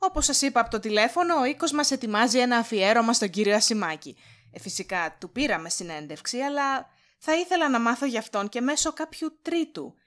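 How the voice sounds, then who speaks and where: A woman's voice: a little high, lively intonation, a bit bright. A woman playing a nosy, impatient publishing director in an audio drama, probing and falsely polite. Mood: polite, fishing.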